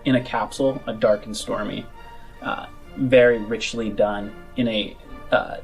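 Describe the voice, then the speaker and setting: A man's voice: on the low side, steady tone, a little slow, faint music behind, low-quality recording. A man narrating a tabletop game as game master, improvising vivid, savored descriptions.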